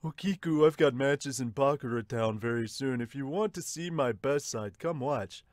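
A man's voice: medium pitch, moderate pace, dry recording, clean studio sound, pitched below the performer's own voice, natural voice. Man doing a vain, smug sumo champion. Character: vain, huge male sumo champion wooing a woman.

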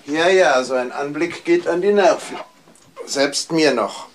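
An actor playing a courteous, measured doctor and gracious host in a crime radio drama. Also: male voice, fairly high pitch. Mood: polite, pensive. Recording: roomy, noisy old drama recording.

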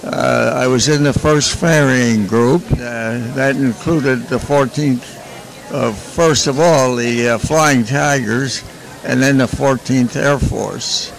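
A man in his nineties giving a slow, halting statement as a veteran, speaking into a handheld mic at a busy airport gate. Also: mid-range voice, vocal fry, low-quality recording. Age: 90s. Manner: slow and halting, many "uh" pauses. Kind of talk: short personal statement to camera. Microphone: handheld mic held close.

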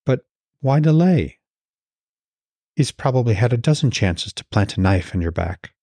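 Man voicing a shrewd, gentle Norse goddess. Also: mid-range voice, big pitch swings, normal speed, dry recording, studio quality. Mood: probing.

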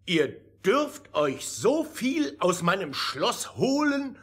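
A man's voice: high-pitched, very animated, slow pace, fairly bright tone, full character voice. An actor playing a sly, whining old king in a fairy-tale radio play, flustered and pompous. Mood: scheming. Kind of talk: theatrical radio play acting.

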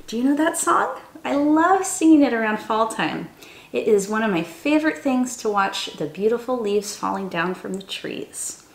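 A young woman reads to small children in a high voice that swoops widely up and down. She is expressive and sing-song, talking to them directly as a storytime teacher. The room adds a noticeable echo around her voice. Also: normal speed, vocal fry. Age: young adult. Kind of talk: expressive picture-book read-aloud for young children. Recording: roomy indoor echo.

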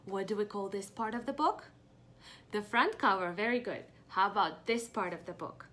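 A young woman teaching preschoolers through a picture-book read-aloud, asking questions expressively and pausing for answers. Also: mid-range voice, fairly bright tone. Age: young adult. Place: small home room indoors.

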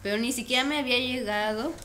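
A woman's voice: a little high, quick pace, fairly bright tone, slight background noise. A young woman chatting casually and exclaiming while playing a video game. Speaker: gamer. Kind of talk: casual chat while gaming.

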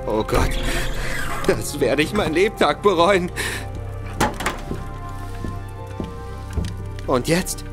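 An actor playing a timid, easily shocked young gentleman accomplice who hesitates and stammers. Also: fairly high pitch, animated, slow, music in the background. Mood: reluctant. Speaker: reluctant accomplice. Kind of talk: studio audio drama acting.

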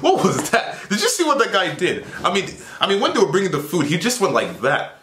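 Excitable young man in an echoey bedroom. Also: high voice, fairly quick. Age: young adult. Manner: excitable, playful, teasing asides. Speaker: reaction vlogger. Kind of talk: casual reaction commentary. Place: small bedroom, indoors. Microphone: webcam at desk, earphones in. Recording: echoey room.

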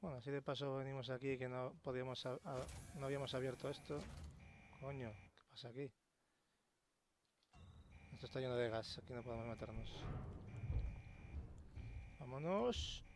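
A man's voice: medium pitch, steady tone, moderate pace, long pauses. A man with a Castilian Spanish accent giving casual, sweary gameplay commentary, muttering to himself as he plays. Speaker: let's player. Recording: clear game ambience noise behind. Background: sci-fi horror game sound.

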